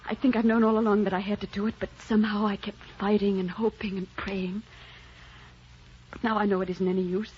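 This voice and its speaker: A woman's voice: medium pitch, little pitch movement, brisk, slight background noise, lightly acted. A woman acting an anguished, self-sacrificing romantic heroine in an old radio drama, earnest and pleading. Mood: resigned. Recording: dull, muffled old radio recording.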